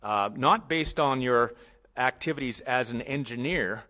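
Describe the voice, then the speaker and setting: A man's voice: medium pitch, sharp tone. A man moderating a hearing, questioning a witness in a formal but conversational way and often rephrasing as he goes. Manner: halting, rephrases as they go.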